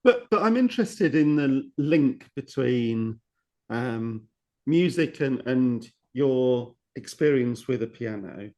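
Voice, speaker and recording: A man's voice: medium pitch, lively intonation, takes it slowly, warm tone. A male podcast host asks long, winding questions. He circles back on himself and often repeats words as he works toward his point. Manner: rambling, repeats words, many restarts. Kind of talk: podcast interview.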